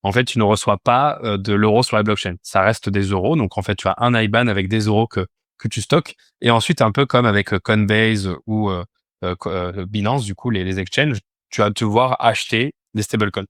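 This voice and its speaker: A man's voice: on the low side, moderate pace, fairly bright tone, no echo, studio quality. A man giving an informal step-by-step tutorial as an instructor, explaining things and often posing questions that he then answers. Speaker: instructor teaching a crypto finance course.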